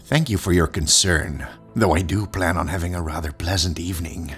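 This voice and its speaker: A man voices a pious comic-book villain with an extremely low voice. He speaks formally and solemnly, with a hard, sharp edge, over music. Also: normal speed. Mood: suave. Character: devout, zealous male villain, wealthy and solemnly pious. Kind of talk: comic dub acting.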